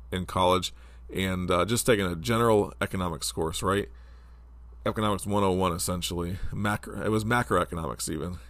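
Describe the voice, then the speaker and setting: Deep-voiced man, stuffy with a cold. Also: fairly bright tone, dry recording. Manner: rambling, conversational, many fillers. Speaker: podcast host. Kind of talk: casual solo political podcast.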